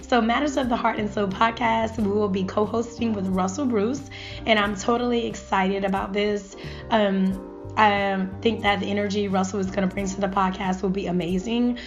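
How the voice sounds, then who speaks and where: A woman hosting a podcast who is a bit hoarse today, talking enthusiastically with some hesitant fillers. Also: medium pitch, fairly even intonation, normal speed, lo-fi sound, soft background music. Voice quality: raspy. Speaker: podcast host.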